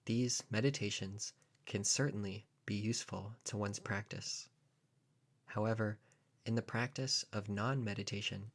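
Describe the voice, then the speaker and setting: A man narrating a guided meditation as a teacher, in measured, deliberate phrases with pauses. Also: lowish voice.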